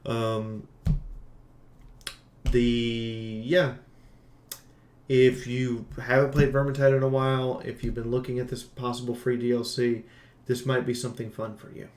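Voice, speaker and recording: A man's voice: medium pitch, flat delivery, measured pace, pauses often, darkish tone, a little hiss or hum. A man giving an unscripted game review in an informal, emphatic way, as a channel host talking to viewers. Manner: informal, emphatic, occasional swearing. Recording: roomy, echoing room sound.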